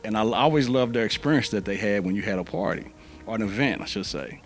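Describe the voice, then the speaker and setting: A middle-aged man from Louisville, Kentucky, speaking in a measured, conversational way as an interview guest. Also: lowish voice, faint music behind. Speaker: interview guest, event planner. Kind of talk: casual interview.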